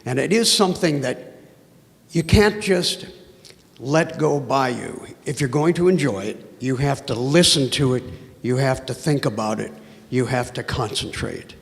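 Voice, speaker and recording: A hoarse audience member speaks earnestly, leaning hard on his words. His voice is deeply gravelly and rings out in a reverberant hall. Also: lively intonation, measured pace. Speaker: audience member asking a question. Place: auditorium with audience.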